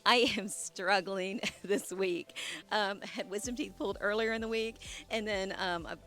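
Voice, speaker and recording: A woman in her forties, hoarse from a cold, chatting casually as she leads a tour of her garden outdoors. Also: mid-range voice, steady tone, moderate pace. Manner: chatty, warm asides with fillers and restarts. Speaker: landscape designer and channel host. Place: outdoors in her own garden side yard. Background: soft music and running water.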